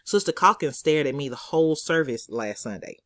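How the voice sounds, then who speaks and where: A woman's voice: deep voice, lively intonation, brisk, a bit bright, no echo, clean studio sound. Woman narrating a sassy first-person story. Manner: conversational, sassy child's-eye storytelling. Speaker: author reading own novel.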